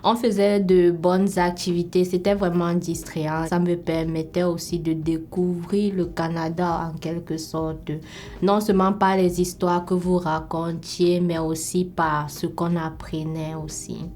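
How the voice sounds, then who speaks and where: Reflective woman, lowish voice. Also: level tone, a little slow, darkish tone, slight background noise. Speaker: documentary participant, scriptwriter. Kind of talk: documentary interview.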